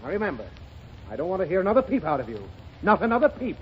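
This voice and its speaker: A man's voice: high-pitched, very animated, fast talker. A man playing a flustered, exasperated father trying to do his taxes, in 1930s radio comedy. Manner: flustered, theatrically exasperated, sputtering. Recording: dull 1930s radio broadcast with hiss and studio audience.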